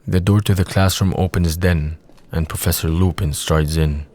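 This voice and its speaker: Deep-voiced male audio drama narrator. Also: steady tone, normal speed, darkish tone.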